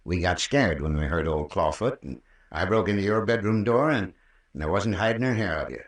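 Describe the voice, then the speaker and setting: Brief: soothing rural American country doctor, low voice, unhurried old-radio storytelling, roomy distant recording. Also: male voice, expressive. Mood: patient recounting. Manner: folksy, unhurried, patient storytelling. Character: folksy country doctor, soothing, long-winded peacemaker. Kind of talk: old-time radio drama acting.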